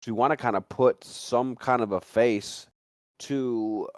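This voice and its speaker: A man's voice: medium pitch, fairly even intonation, a little slow, dry recording, studio quality. A man hosting a casual talk show, chatting in a rambling, joking way. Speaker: show host. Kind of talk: casual chat.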